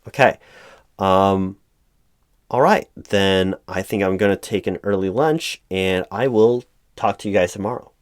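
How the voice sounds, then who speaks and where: A man playing a frustrated, flustered corporate recruiting manager in a one-sided roleplay, speaking in a halting, stammering, officious way. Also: sits low, no room sound, clean studio sound. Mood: frustrated, resigned. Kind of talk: one-sided ASMR roleplay, loud spoken.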